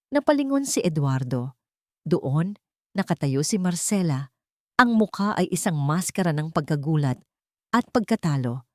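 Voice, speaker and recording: A woman's voice: low voice, a little mellow, no echo, studio quality. A woman narrating a scripted audio story in a dramatic storytelling style.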